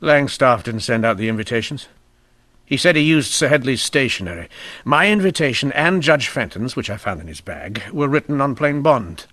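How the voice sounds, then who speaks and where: An actor playing a shrewd, dryly witty police inspector in a radio mystery drama, speaking in a measured, deliberate way. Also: male voice, medium pitch, lively intonation, bright, forceful tone, dry recording. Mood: grave, confiding. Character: shrewd, dryly wry male police inspector in 1890s Canada. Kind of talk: old-time radio mystery drama acting.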